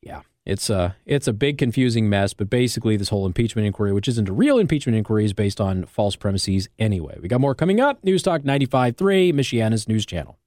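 A man's voice: on the low side, expressive, quick pace, few pauses, darkish tone, no echo, studio quality. An American man hosting talk radio, delivering an opinionated monologue with emphatic stress on key words. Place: radio studio.

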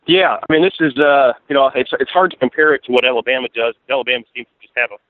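An American man talks sports as an interview guest, at a rapid clip. He rambles and repeats himself for emphasis in a mid-pitched voice, heard over a narrow phone line. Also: dry recording. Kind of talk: conversational sports analysis.

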